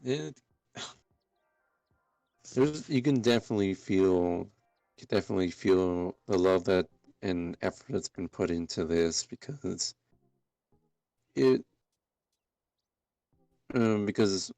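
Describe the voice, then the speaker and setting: A man giving casual commentary in a halting way, often repeating his phrases. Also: low-pitched, expressive, gravelly, warm tone, dry recording.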